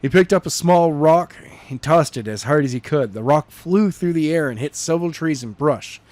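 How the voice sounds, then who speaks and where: A man's voice: fairly high pitch, animated, a little mellow, dry recording. A man reading a chapter of a novel aloud in an unpolished way, often stumbling and repeating words. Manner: halting, with frequent repeats and restarts. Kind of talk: unpolished read-aloud narration.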